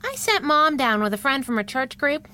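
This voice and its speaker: A woman's voice: sits high, big pitch swings, moderate pace, pushed and bright, full character voice. A woman playing a playful, defiant young sidekick heroine in a radio drama. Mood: breezily defiant. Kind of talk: 1930s-style radio drama acting.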